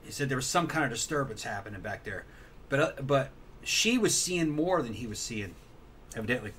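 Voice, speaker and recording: A man's voice: medium pitch, lively intonation, a little fast, fairly bright tone. A man in casual discussion as a co-host, circling back to repeat and rephrase his points. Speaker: show co-host. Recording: faint background noise.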